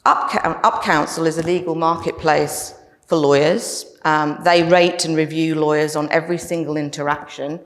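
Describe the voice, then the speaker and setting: A woman giving a prepared conference talk, measured and slowing down to land her closing points. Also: low-pitched, level tone. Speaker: conference speaker.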